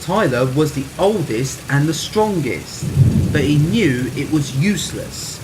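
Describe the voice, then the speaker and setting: A man's voice: fairly high pitch, animated, a little slow, darkish tone, slight background noise, low-quality recording. A man narrating a scripted Halloween radio story in a dramatic style.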